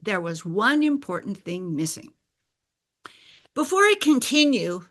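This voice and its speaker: An older woman whose first language is Bulgarian, telling a personal story in a deliberate, explanatory way and clearing her throat now and then. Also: mid-range voice, a bit bright. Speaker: speaker sharing a personal story. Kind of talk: slow scripted personal narration.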